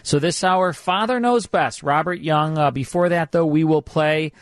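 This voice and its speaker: A man's voice: fairly high pitch, moderate pace, no echo, studio quality. An American man hosting a radio show in casual banter, with an enthusiastic, teasing manner. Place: radio studio.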